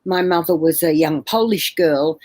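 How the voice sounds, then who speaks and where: A woman in her seventies telling her personal story as an interview guest on a video call from home, earnest and halting with many fillers and restarts. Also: low voice, a little mellow. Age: 70s. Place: home room.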